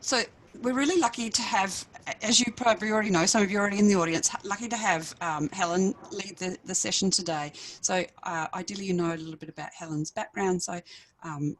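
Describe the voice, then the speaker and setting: An Australian woman with a croaky voice, introducing a panel on a webinar call in a rambling way, with many fillers and restarts. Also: fairly low pitch, low-quality recording. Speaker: panelist from an ePortfolio group. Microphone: video call.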